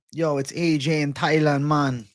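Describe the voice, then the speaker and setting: A man's voice: fairly high pitch, no echo, studio quality. A man hosting a casual livestream, chatting and answering viewers in slangy, profane, bro-heavy banter. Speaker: livestream host.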